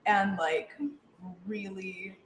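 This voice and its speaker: A woman's voice: mid-range voice, steady tone, extremely slow, slight background noise. Playful young woman in an echoey room. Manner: playful, giggly, frequent 'like'. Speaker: cast member answering questions. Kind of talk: casual chat.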